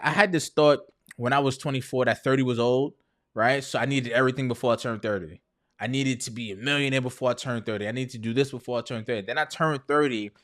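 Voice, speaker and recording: A man's voice: mid-range voice, a bit bright. A man in his thirties talking candidly and casually to viewers as a video host, often checking in with the listener conversationally. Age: 30s. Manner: conversational, often checks in with "right?" and "you know". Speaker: entrepreneur video host sharing personal story.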